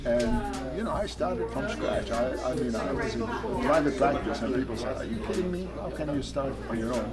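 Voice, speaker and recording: A man's voice: medium pitch, expressive, brisk, few pauses. A middle-aged man from Iraq, a hepatologist, chatting casually over wine, laughing often and listing tasting notes. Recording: loud music and crowd behind.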